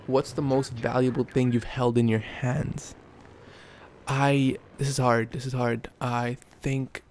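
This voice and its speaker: A young man from Canada, rambling and joking through a casual question-and-answer vlog, with a raspy voice because he just woke up. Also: mid-range voice, darkish tone, slight background noise. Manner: rambling, self-correcting, joking. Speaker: YouTuber.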